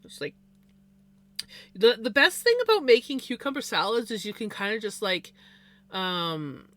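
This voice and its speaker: Bright, chatty livestreamer. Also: medium pitch, animated, unhurried, no room sound. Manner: rambling and chatty, many fillers and restarts. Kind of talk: casual chat on a live stream.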